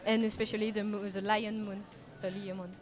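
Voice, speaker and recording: A woman's voice: mid-range voice, level tone, brisk. A young woman co-hosting student radio, chatty and giggly, searching for English words. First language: French. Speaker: radio co-host. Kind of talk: casual student radio chat.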